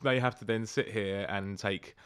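A man's voice: on the low side, fairly even intonation, normal speed, fairly bright tone, clean tone. A man with a British accent in casual comedic chat as a podcast co-host, blunt, sweary and often incredulous.

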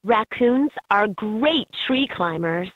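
A woman's voice: medium pitch, animated, slow pace, no echo. A woman reading scripted narration as the guide in a children's learning game, recorded as lo-fi toy audio. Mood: instructive.

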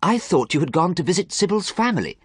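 An earnest, gentle painter from a storybook, played by a male narrator, anxious and pleading. The voice sits high above a normal male talking pitch, with huge rises and falls, recorded very dry. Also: big pitch swings, fast, slightly rough, no room sound, full character voice. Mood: worried.

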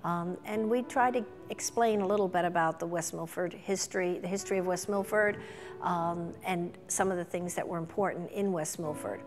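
A middle-aged woman gives a documentary interview in a fairly low voice. The room leaves a long reverb, and instrumental music plays clearly underneath. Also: moderate pace. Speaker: museum chairperson, interviewee. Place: indoors, in a museum.

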